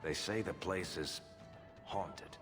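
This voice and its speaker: A man's voice: sits low, takes it slowly, big-room sound, music in the background. A man playing a roguish, easygoing middle-aged dwarf in a fantasy video game, with a wry, casual delivery. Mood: wry, uneasy.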